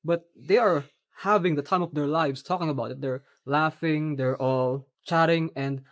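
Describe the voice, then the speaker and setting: A young man from the Philippines with a Filipino accent, whose first language is Tagalog, talking earnestly in a personal vlog with measured, slightly formal phrasing. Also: fairly high pitch. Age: young adult. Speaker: vlogger. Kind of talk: personal reflective monologue.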